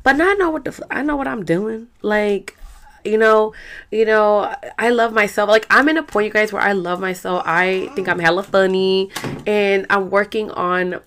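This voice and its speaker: A woman's voice: medium pitch, moderate pace, fairly bright tone. A young adult woman with a Salvadoran accent, hosting a podcast in a chatty, slangy, rambling way with lots of fillers. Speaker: podcast host. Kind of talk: casual chat.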